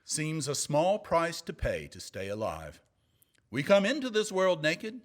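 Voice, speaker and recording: Man playing an earnest, slow-spoken TV news anchor. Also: on the high side, wildly swooping pitch. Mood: earnest, preachy. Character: earnest male TV news anchor, gently reassuring. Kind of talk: comedy sketch acting, mock newscast.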